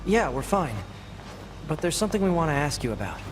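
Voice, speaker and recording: A woman's voice: deep voice, big pitch swings, fairly quick, noisy background. A woman voicing a curious young male android scout in a video game, casual, youthful and boyish. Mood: inquisitive. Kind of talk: English video game voice acting. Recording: game music playing behind.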